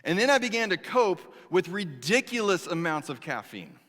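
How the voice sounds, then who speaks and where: A man in his thirties preaching a sermon as pastor, telling a personal story in an animated, conversational way with acted asides. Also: high-pitched, normal speed, in a large hall. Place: church sanctuary.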